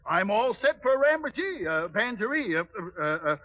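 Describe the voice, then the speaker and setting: Flustered high-voiced old dwarf leader. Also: male voice, very animated, moderate pace, heavily acted. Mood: excited, flustered. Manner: stammers and muddles his words. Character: flustered elderly dwarf leader, kindly and muddled. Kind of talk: 1930s radio acting. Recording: tinny 1930s radio broadcast, music behind.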